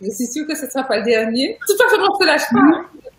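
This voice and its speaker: A woman's voice: high voice, big pitch swings, quick pace, echoey room. A woman hosting a casual video interview, chatty and emphatic, laughing often. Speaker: interview host.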